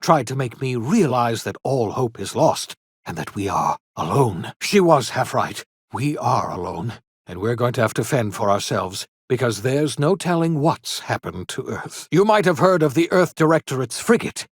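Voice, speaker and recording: Game role: elderly male eccentric scientist, theatrical, old-fashioned diction, very animated mid-pitched delivery. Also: normal speed, breathy edge, no room sound, clean studio sound. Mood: grave, worried. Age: older. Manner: theatrical, formal old-fashioned phrasing, emphatic. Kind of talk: video game character dialogue.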